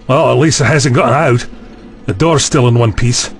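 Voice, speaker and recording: A man's voice: mid-range voice, moderate pace, soft background music, natural voice. A man playing a skeptical, impatient university chancellor in an audio drama, speaking bluntly and with exasperation. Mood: nervous.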